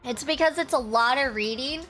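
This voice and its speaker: A young woman streaming a game, chatting casually in rambling asides while her voice is going hoarse. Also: sits high, normal speed, bright, forceful tone, soft background music. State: losing her voice. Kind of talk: casual gameplay commentary.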